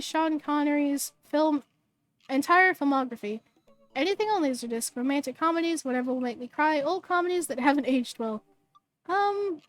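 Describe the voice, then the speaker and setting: A hoarse, bubbly woman streamer reading game text aloud and chatting with viewers, tripping over words now and then. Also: high-pitched, no echo.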